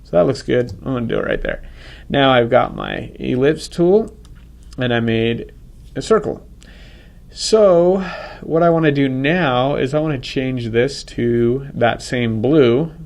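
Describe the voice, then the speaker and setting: Stuffy-nosed man with a gravelly voice. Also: medium pitch, unhurried, darkish tone. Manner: casual, thinks aloud, self-correcting. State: congested from a cold. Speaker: software instructor. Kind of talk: screen-recorded software tutorial.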